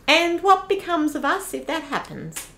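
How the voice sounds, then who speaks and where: Vampire wife, played by a woman: high-pitched, animated, refined and inquisitive, radio play style. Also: unhurried, heavily acted. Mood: concerned. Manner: expressive, inquisitive. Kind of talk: old-time radio play acting.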